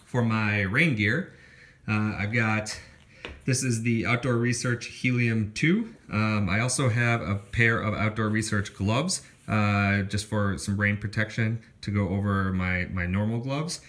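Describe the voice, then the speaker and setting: A man's voice: fairly low pitch, steady tone, measured pace, a bit bright. A young man casually talking through his hiking gear, listing items with lots of ums and restarts. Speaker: hiker showing his gear. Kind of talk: casual gear walkthrough voice-over. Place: indoors at home, filming gear on a floor.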